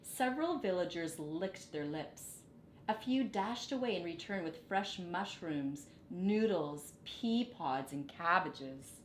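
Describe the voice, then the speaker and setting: Woman, children's story read-aloud, very lively intonation, expressive phrasing, echoey room, faint rumble. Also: slow. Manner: animated, dramatic phrasing for children. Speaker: storybook reader.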